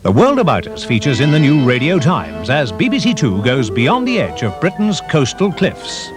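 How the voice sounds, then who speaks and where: A man's voice: mid-range voice, wildly swooping pitch, moderate pace. A man with a British accent reading a scripted advertising voice-over for a promo. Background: loud music.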